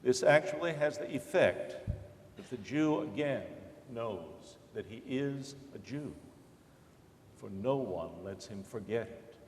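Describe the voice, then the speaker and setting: A man acting as a grave narrator in a staged documentary reading, speaking deliberately and declaiming each phrase. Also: medium pitch, animated, a little hiss or hum. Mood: solemn. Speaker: stage reader in a dramatic reading. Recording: echoey hall with long reverb.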